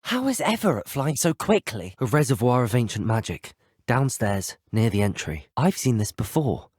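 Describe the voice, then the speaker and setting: An actor playing an earnest, curious teenage wizard student in a video game, speaking politely with a British accent. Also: male voice, mid-range voice, big pitch swings, moderate pace, darkish tone, slightly rough, dry recording, clean studio sound. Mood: curious, composed. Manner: polite, well-spoken. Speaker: player character voice.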